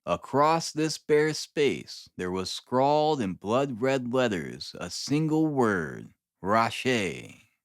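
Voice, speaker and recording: A man reading a classic detective novel aloud as an expressive, literary audiobook narrator. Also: medium pitch, slow pace, few pauses, no room sound, clean studio sound.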